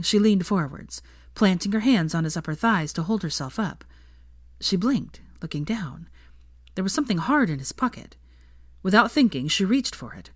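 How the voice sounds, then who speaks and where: A woman's voice: lowish voice, no room sound, clean studio sound. A woman reading a story aloud as narrator, in scripted audiobook style. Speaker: fan fiction narrator.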